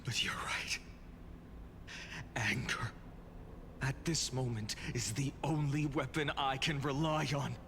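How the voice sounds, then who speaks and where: An actor playing an anguished, vengeful warrior worn down by endless sorrow, with solemn, dramatic video game delivery. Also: male voice, mid-range voice, fairly even intonation, slow, long pauses, noisy background. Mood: grieving anger. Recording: dramatic music behind the voice.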